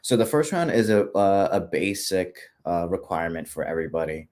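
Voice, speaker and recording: Deep-voiced man, relaxed and conversational. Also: a little fast. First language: not English. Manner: relaxed, conversational, many fillers and tag questions. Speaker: guest. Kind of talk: casual interview chat.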